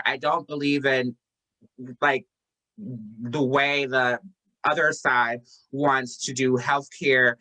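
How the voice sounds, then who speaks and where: A man's voice: medium pitch, bright, forceful tone, low-quality recording. A man from Guyana hosting a casual chat, chatty and opinionated.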